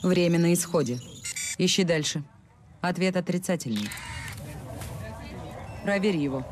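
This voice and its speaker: A woman's voice: fairly low pitch, measured pace, a little hiss or hum, lightly acted. A woman voicing a commanding female special forces operative in a dubbed game, giving curt orders.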